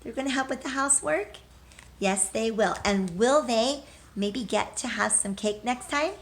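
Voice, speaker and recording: A woman's voice: on the high side, normal speed. Female early-years teacher, question-and-answer storytime lesson, simple encouraging delivery, some background noise. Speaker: teacher reading aloud to young children. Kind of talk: children's read-aloud lesson with questions and answers.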